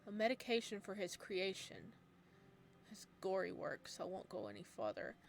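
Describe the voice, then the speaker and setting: A woman's voice: medium pitch, animated, normal speed, creaky, slight background noise. A woman reading as a solemn male ice god. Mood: calm.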